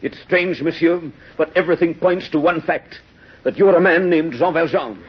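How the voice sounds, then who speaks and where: A stern, duty-bound police inspector in an old radio play. The voice is rather high for a man and rough-edged, with stiff, formal phrasing. The 1930s recording is dull and lo-fi. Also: normal speed. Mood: grim, earnest. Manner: clipped, formal, severe.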